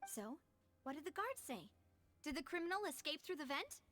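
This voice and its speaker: A woman's voice: high-pitched, sing-song, normal speed, a bit bright. A woman playing an earnest, inquisitive young female investigator in an anime video game, speaking in an articulate, theatrical manner. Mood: businesslike.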